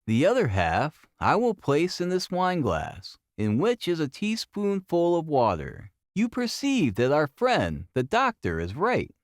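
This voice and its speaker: A man voicing a brilliant, intense Victorian detective in an audiobook, with a precise, commanding and excitable manner. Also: fairly high pitch, no room sound, studio quality, heavily acted.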